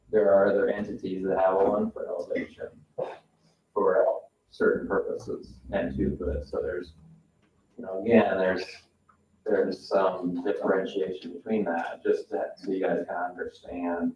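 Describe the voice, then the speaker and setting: Low, even-voiced man in an echoey room. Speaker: board meeting participant reviewing technical matters. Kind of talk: informal technical discussion at a public meeting. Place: echoey meeting room, picked up from afar. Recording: roomy, distant, muffled meeting audio with noise.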